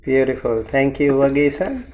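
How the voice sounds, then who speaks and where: A man's voice: mid-range voice, very animated, normal speed, darkish tone. A man teaching a class as a monk, welcoming students over a video call with frequent ums and light laughs.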